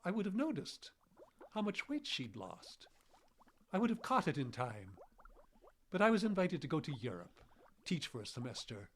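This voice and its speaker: An actor playing an elderly, guilt-ridden scientist with failing memory, speaking formally and haltingly in a radio drama. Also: male voice, fairly high pitch, huge pitch swings. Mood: regretful, anguished. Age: older. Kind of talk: live radio drama acting. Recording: noisy live radio drama recording.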